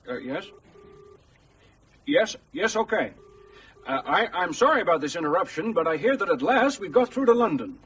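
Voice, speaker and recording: A man's voice: high-pitched, sing-song, a little slow, long pauses, a bit bright, recorded from a distance, slight background noise, exaggerated voice, poor audio. A man acting in a radio drama as a pushy American current affairs presenter, formal and insistent on air.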